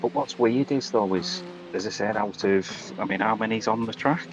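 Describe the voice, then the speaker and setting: A man's voice: lowish voice, normal speed, background noise. A man chatting casually as a guest commentator, musing in an easygoing, puzzled way. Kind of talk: casual race chat. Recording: slightly dull lo-fi call audio.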